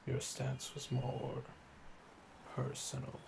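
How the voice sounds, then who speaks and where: A man voicing a calm, smug, flirtatious assassin in a slow, intimate ASMR roleplay, deliberate and condescending, often dropping to a murmur. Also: medium pitch, vocal fry, noisy background. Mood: probing, admiring.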